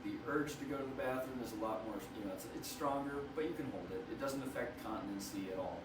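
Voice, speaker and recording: A man, a radiation oncologist, explaining treatment to patients in a measured way, slightly hoarse and often restarting his phrases. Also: medium pitch. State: hoarse from wildfire smoke. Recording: roomy, from far off, noisy.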